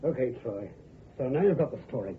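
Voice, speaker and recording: A man's voice: medium pitch, lively intonation, a little fast, slight background noise, close to an everyday voice. An actor playing a curt, menacing gunman in an old-time radio crime drama. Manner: threatening, curt. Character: menacing male gunman accomplice. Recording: tinny old radio recording.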